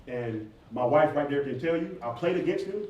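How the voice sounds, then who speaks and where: A man's voice: fairly high pitch, moderate pace, dull audio. A man answers audience questions as a performer, telling stories in a casual, joking, informal way. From southern United States. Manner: joking, informal, animated storyteller. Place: echoey indoor event space with audience. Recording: echoey room, distant mic, background noise.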